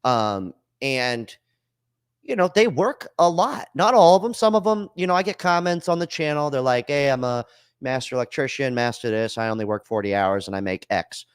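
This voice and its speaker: A male podcast host with a loose, slangy delivery that turns blunt and profane. The sound is dry and close, with no room around it. His pitch sits in an ordinary middle range. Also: animated, normal speed, clean tone, no room sound. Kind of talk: casual chat.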